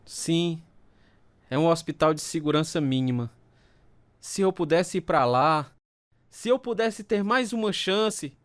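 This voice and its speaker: A man's voice: a little high, a little slow, long pauses, lightly acted. An actor playing a troubled, bitter alcoholic man in a dramatized first-person radio story, speaking with a Brazilian accent and grave delivery. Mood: despairing. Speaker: narrator and protagonist of a radio testimony drama. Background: acoustic guitar music.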